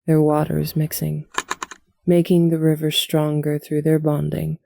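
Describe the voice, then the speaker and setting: Low, creaky, patient elder alien woman played by a woman. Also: monotone, no echo, clean studio sound. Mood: reverent. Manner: measured, earnest, gently admonishing. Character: older, devout alien woman, patient, principled, motherly. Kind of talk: scripted fantasy audio roleplay acting.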